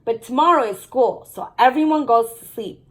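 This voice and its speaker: A woman voicing a gentle but firm storybook mother who explains things to her young children. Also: on the high side, normal speed, lightly acted, poor audio. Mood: explaining.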